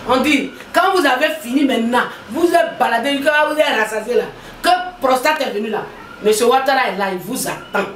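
Lively teasing woman interviewer. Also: sits high, a little fast, roomy sound, far from the mic, slight background noise. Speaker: interviewer host. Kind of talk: casual interview. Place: outdoor veranda.

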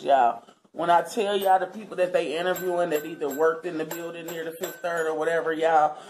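A woman in her thirties from Cincinnati, talking earnestly and casually to her viewers from her living room. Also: fairly low pitch. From Cincinnati, Ohio, US. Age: 30s. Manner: chatty, earnest, keeps addressing viewers as y'all. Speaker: vlogger. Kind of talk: casual talk to camera.

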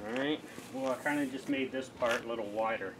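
Young host with a blocked-up cold voice, plain mid pitch, walks viewers through a build outdoors. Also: male voice, brisk, background noise. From Canada. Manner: chatty, explains steps to the camera. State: stuffy from a cold. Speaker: fishing channel host. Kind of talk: casual DIY how-to vlog. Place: outdoor driveway by a garage. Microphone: camera mic, talking to the lens up close.

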